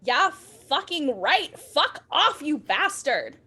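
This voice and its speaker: A high-pitched, melodramatic teenage goth girl. She swings wildly in pitch and drags out her words in foul-mouthed, overwrought outbursts, with a bright, piercing edge. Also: big pitch swings, exaggerated voice. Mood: furious outrage. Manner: shrieking, drawn-out, overwrought delivery. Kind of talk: comedic dramatic reading of fan fiction.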